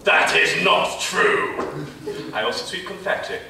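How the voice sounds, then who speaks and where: A man's voice: high voice, steady tone, measured pace, vocal fry, full character voice. A British man playing a camp, flirty, motherly nanny in a stage pantomime, with exaggerated, playful projection. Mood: indignant, playful. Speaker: pantomime dame actor. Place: on stage in a theatre, far camera. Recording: distant, echoey theatre hall, noisy ambience.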